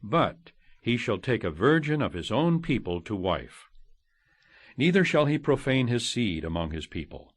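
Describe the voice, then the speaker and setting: A man reading scripture as an audiobook narrator, solemn and measured, declaiming each phrase and letting it fall at the end. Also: medium pitch, very animated, no room sound. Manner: measured, declamatory, phrases ending on falling cadences.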